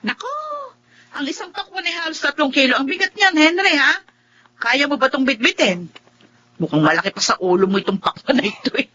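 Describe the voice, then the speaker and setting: A blunt Filipino female fruit seller, grumbling and exclaiming in a high, piercing voice. Her pitch jumps around a lot in this radio drama performance. The recording is dull with faint noise. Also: animated, fairly quick, bright, forceful tone. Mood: brash, doubting. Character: blunt, superstitious, scornful female market fruit vendor. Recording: dull audio with some noise.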